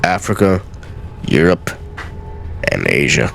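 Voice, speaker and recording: Casual male gaming host, very creaky voice, lively intonation. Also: low-pitched, slow pace, faint music behind. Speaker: let's play host. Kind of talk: casual gameplay commentary.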